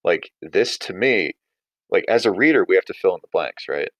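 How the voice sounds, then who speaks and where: A man's voice: low voice, expressive, moderate pace, a bit bright, no room sound, poor audio. A man teaching a writing lesson in an informal, conversational way, thinking aloud as he explains. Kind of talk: casual writing lesson and story read-aloud.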